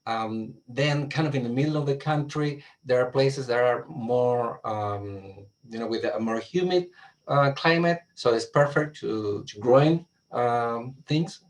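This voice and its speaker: A man's voice: medium pitch, measured pace, far from the mic. A man from Chile with a Chilean Spanish accent, whose first language is Spanish, giving a casual, friendly talk as a guest on a video call, full of fillers and restarts. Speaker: guest astronomer.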